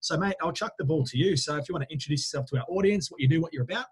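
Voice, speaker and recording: Give me a relaxed man in his thirties hosting a podcast. He talks quickly and sits a little high in pitch. His voice has a husky edge. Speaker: podcast host. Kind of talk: casual interview chat. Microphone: headphones and studio mic.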